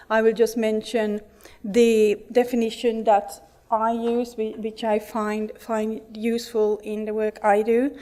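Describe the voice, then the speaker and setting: Woman with a Finnish accent, mellow. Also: fairly high pitch. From Finland. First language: Finnish and Swedish. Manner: earnest, with frequent restarts and fillers. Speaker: conference speaker. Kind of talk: conference talk. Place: conference hall.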